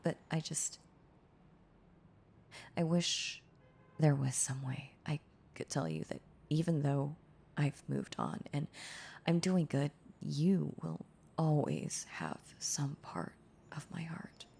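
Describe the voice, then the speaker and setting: A woman playing a heartbroken, tender former girlfriend in an intimate audio roleplay, confessing haltingly in a breathy, husky voice that slips into whispers. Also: low voice, expressive, mellow, full character voice. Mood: tender, bittersweet. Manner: halting, confessional, repeating words, breaking into whispers.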